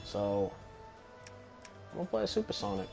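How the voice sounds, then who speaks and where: A man's voice: sits high, sing-song, moderate pace, creaky, a little mellow. A man giving casual, rambling gameplay commentary and swearing freely. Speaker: gamer commentating on own play. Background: video game music.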